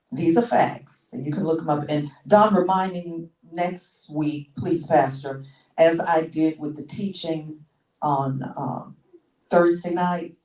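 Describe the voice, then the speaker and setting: A woman in her sixties delivers a teaching sermon, often restarting and repeating her words as she works through a point. Her voice sits low for a woman. She is heard from some distance in a reverberant church sanctuary. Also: mellow. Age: 60s. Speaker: pastor. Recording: echoey church room, mic far off.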